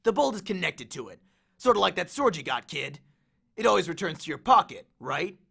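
A man performs a swaggering god of war for an audiobook, a menacing biker bully. He is cocky and slangy, taunting in a bright, forceful voice with plenty of gravel and big swings of pitch. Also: big pitch swings, brisk, exaggerated voice. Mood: smug. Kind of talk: audiobook character voice.

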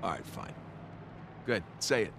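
A man's voice: on the low side, big pitch swings, a little slow, creaky, heavy background noise, exaggerated voice. An actor with an American accent playing a confident, loyal adventurer in his thirties in a game cutscene, casual, reassuring and earnest. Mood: earnest, insistent. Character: confident, loyal adventurer man planning a heist. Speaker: video game character. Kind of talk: naturalistic game cutscene dialogue.

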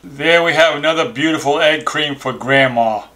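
A man's voice: on the high side, moderate pace, background noise. An older man co-hosting a vlog, explaining a recipe step by step in a plain, matter-of-fact way. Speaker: channel co-host. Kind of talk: kitchen demonstration.

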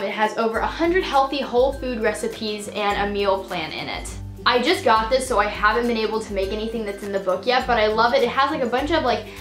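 A woman's voice: on the high side, a bit bright, roomy sound. A teenage girl chatting in a casual shopping haul vlog, gushing and full of fillers, a little stuffed up and losing her voice. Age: teens. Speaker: vlogger. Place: bedroom.

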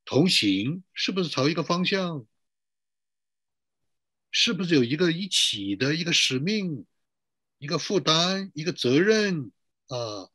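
A man's voice: fairly high pitch, very animated, unhurried, pauses often, fairly bright tone, husky, no echo, clean studio sound. A middle-aged man pastor giving a sermon to an online church, posing rhetorical questions and drawing out emphatic phrases.

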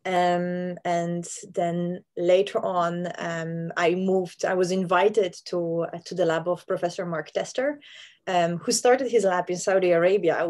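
A woman's voice: lowish voice, darkish tone. A woman, a scientist explaining her research in a virtual interview, hesitant with many fillers. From Poland. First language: Polish. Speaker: plant scientist guest. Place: home room with plants. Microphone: video call.

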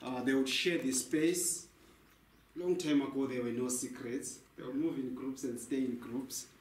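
A man's voice: medium pitch, steady tone, unhurried, a little mellow. Young man guiding a tour in an echoey cave. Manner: explains with questions and answers. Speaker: tour guide. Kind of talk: guided cave tour talk. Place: large cave, indoors. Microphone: handheld mic, camera a few meters away. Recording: echoey cave, faint noise.

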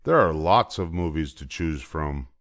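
A man voicing a calm papa bear father who explains things reassuringly in a storybook reading. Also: a bass voice, measured pace, pitched below the performer's own voice, heavily acted. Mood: easygoing.

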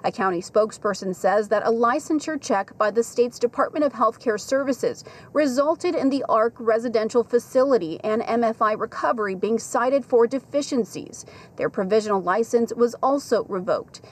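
Woman reporting live outdoors on TV news. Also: fairly high pitch. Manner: measured, clear broadcast delivery. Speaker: field reporter. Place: outdoors at a rehab facility at dusk. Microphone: handheld station mic.

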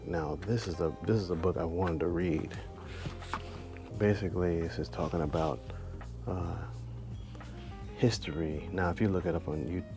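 Deep monotone guy in his 40s vlogging in a library, lots of ums, music playing underneath. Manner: relaxed, musing, thinks aloud with fillers. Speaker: travel vlogger. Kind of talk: casual vlog commentary. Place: large indoor library. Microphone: handheld or chest-mounted action camera. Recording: clear background music under voice. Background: background music.

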